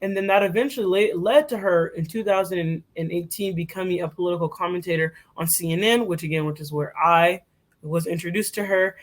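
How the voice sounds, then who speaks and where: A woman's voice: lowish voice, brisk. Chatty young woman co-hosting a podcast. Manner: chatty, filler-heavy, self-correcting. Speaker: podcast co-host. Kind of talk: casual presentation.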